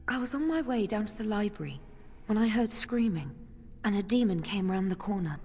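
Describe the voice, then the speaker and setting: A woman's voice: medium pitch, expressive, a little fast, dark tone, slightly rough. A woman playing an anxious, earnest young mage in a video game, speaking with hesitant worry. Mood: shaken. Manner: worried, hesitant. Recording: long hall reverb.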